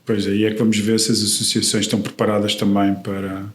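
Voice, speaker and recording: Male podcast host, low-pitched, encouraging, short affirmations, echoey room. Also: monotone, fast talker, slight background noise. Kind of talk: interview questions, conversational.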